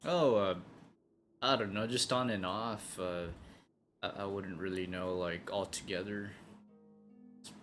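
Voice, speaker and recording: Slow, low-key male game streamer. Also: steady tone, a bit bright. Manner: hesitant, slangy. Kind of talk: casual live-stream chat. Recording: game music behind the voice. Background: game music.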